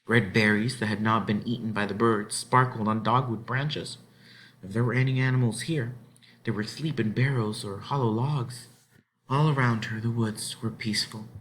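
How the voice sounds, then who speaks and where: A man narrating a children's book aloud in an expressive storytelling style, with dramatic phrasing and drawn-out words. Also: mid-range voice, a little hiss or hum, poor audio.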